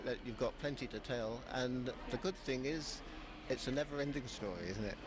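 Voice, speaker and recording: A man hosting a radio interview, relaxed and hesitant with frequent uh fillers. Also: medium pitch, normal speed. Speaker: radio host.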